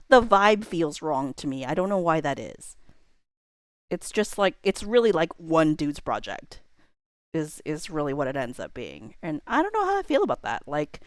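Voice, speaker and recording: A woman's voice: lowish voice, no echo, clean studio sound. A woman, perhaps in her thirties, chatting casually on a livestream in a rambling, self-deprecating way with informal slang.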